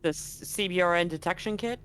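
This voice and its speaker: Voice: mid-range voice, a little fast, fairly bright tone. A game master speaking informally and explaining rules and situations in a casual tabletop role-playing session. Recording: clearly noisy background.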